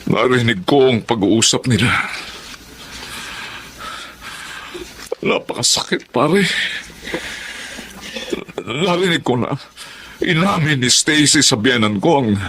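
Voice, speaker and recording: An actor playing a proud, betrayed husband in a Filipino radio drama, with a Filipino accent. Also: male voice, medium pitch, animated, measured pace, pauses often, fairly bright tone, a little hiss or hum. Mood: bitter hurt.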